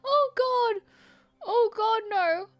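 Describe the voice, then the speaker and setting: A woman livestreaming a game, switching between reading the text aloud and reacting off the cuff in an animated way. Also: very high-pitched, drawn-out words. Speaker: game streamer. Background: background music.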